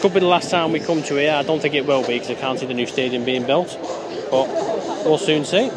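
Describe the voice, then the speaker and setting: A man's voice: on the high side, brisk. A man gives chatty, grumbling commentary from the stands of an outdoor rugby ground, filmed on a handheld phone. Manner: chatty, grumbling, talks over the crowd. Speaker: fan vlogger at a rugby ground. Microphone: phone camera held in hand. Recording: loud crowd noise, outdoor phone recording. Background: loud crowd and outdoor noise.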